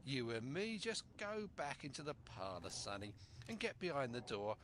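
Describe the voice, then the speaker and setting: A man's voice: medium pitch, sing-song, moderate pace, a bit bright, a little hiss or hum, pitched above the performer's own voice. A man voicing a sly, falsely friendly pirate seaman in sing-song rustic sailor's speech for a storybook. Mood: wheedling, sly.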